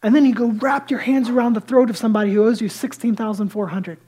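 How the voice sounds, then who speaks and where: Male pastor, high light voice, lively conversational sermon, hushed asides. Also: quick pace, slightly rough. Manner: lively storytelling that drops to hushed, near-whispered asides; self-deprecating and conversational.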